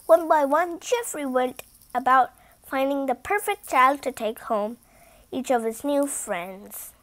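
Young girl, high voice, slow pace, storybook read-aloud, careful beginner reading, expressive emphasis, small stumbles. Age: child.

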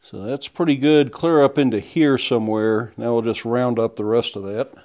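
A middle-aged blacksmith explaining step by step in a plain, unhurried way as he demonstrates forging in a small workshop. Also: medium pitch, steady tone, darkish tone. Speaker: blacksmith instructor. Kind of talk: hands-on demonstration. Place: small workshop forge, indoors.

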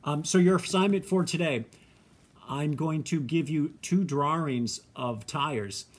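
A young man, a science teacher, explaining car maintenance to his students in a relaxed, chatty way with plenty of ums, filmed on a phone in his home garage. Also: on the high side, a little slow, slightly rough. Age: young adult. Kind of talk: casual how-to lesson video. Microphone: phone camera held close.

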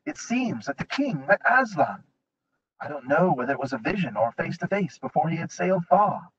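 A man voicing a polite, eager young male fawn who talks brightly and helpfully, on a dull lo-fi recording. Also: a little high, very animated, fairly quick, a little hiss or hum. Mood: informative.

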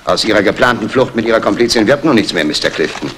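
A man's voice: on the low side, expressive, fast, fairly bright tone, a little hiss or hum. A man playing an authoritative Scotland Yard inspector giving clipped orders in a 1960s radio crime drama. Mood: commanding. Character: brisk, commanding male Scotland Yard inspector.

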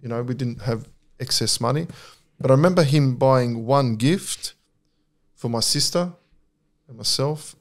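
A middle-aged man, talking reflectively and candidly as a podcast guest, with many fillers and restarts. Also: mid-range voice. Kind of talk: casual conversation.

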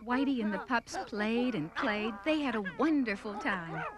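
A woman's voice: fairly high pitch, lively intonation, normal speed, over a noisy crowd. A woman narrating a scripted children's cartoon story.

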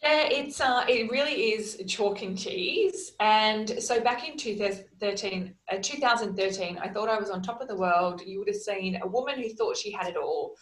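A woman in her thirties, a holistic wellness practitioner, telling her story in earnest, steady lists on a video call from a small room at home. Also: medium pitch, normal speed, few pauses, roomy sound, poor audio. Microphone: video call, laptop camera.

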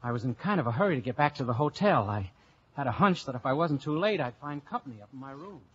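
An actor playing an affable, glib American detective with an easygoing, wry manner in old radio drama style. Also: male voice, medium pitch, lively intonation, quick pace, a little hiss or hum, lightly acted. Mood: breezy. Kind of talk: 1940s-50s radio acting. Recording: dull old radio recording.

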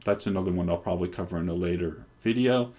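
A man in his forties on a headset mic, casually talking through a software install with many ums and restarts. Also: deep voice, quick pace. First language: English. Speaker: developer presenting hardware. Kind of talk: casual screen-recorded tech demo. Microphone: headset microphone.

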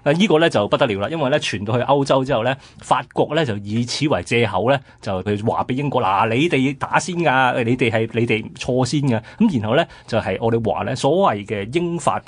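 A man's voice: mid-range voice, expressive, brisk, few pauses, no room sound. A man with a Hong Kong Cantonese accent explaining history conversationally as a radio co-host.

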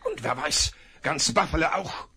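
A gruff, bold outlaw. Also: male voice, on the high side, wildly swooping pitch, measured pace, bright, forceful tone, exaggerated voice. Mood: urgent. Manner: gruff, commanding, urgent. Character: rough, bold male jailbird outlaw. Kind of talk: 1970s radio drama acting. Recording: noisy vinyl record.

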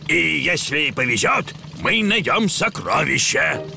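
A man voicing a jolly old grandpa pig who captains a boat in a cartoon, with a gravelly voice. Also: fairly high pitch, sing-song, a little slow, heavily acted. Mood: cheerful. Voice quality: creaky, gravelly. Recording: loud music behind the voice.

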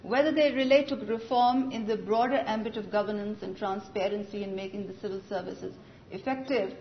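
A woman giving a measured, formal policy speech at a conference podium in a large hall. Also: mid-range voice, fairly even intonation, muffled recording, slight background noise. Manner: measured, articulate, formal delivery with occasional restarts. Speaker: conference panelist. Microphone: podium microphone, recorded from the audience.

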